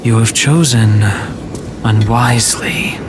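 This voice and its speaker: A man's voice: medium pitch, takes it slowly, background noise, lightly acted. A man playing a menacing, imperious villain in a fantasy video game. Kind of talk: dramatic game voice acting. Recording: very loud music behind the voice.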